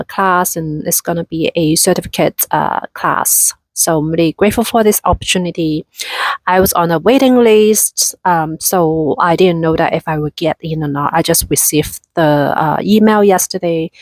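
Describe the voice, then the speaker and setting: A woman's voice: fairly low pitch, no echo. A woman in her thirties from Hong Kong with a Hong Kong accent, chatting casually in a vlog with many fillers and restarts. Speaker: vlogger.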